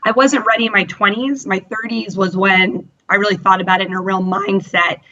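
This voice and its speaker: A woman in her thirties chats through her own story with a bright, forceful tone, full of fillers and little quoted asides. The recording sounds dull and lo-fi. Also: medium pitch, steady tone, brisk. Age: 30s. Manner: chatty, many fillers and quoted self-talk. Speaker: interview guest. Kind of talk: candid personal story.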